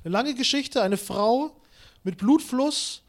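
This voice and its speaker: A man in his late twenties, originally from Kazakhstan, preaching a sermon with lively storytelling and self-mocking humor while walking around. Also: extremely high pitch, slow pace. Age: 20s. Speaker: pastor.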